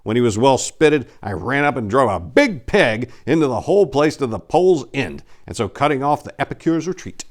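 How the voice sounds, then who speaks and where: A man's voice: mid-range voice, very animated, normal speed, hardly any pauses, voice pushed up, close to an everyday voice. A man voicing a boastful, gallant aristocratic adventurer, telling tall tales in a grand, theatrical storybook manner. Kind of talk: dramatic reading of an old storybook.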